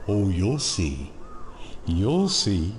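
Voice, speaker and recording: An actor playing a gleeful, obsessive asylum lunatic in an audio drama, cryptic and giggling. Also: male voice, low voice, wildly swooping pitch, drawn-out words, darkish tone, slight background noise, heavily acted. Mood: eerie, gleeful.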